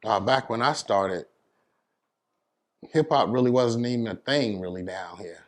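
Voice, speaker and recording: A man's voice: lowish voice, measured pace. A middle-aged American man chatting casually as an interview guest, telling his story in a laid-back, plain-spoken way.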